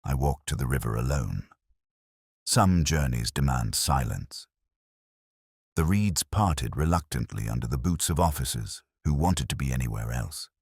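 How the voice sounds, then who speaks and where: A man playing a weary, melancholy police inspector, narrating a detective drama in solemn, measured, literary phrasing. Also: very deep voice, expressive, a little mellow, husky, no room sound, studio quality, heavily acted. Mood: somber, resigned. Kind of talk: first-person scripted detective drama narration.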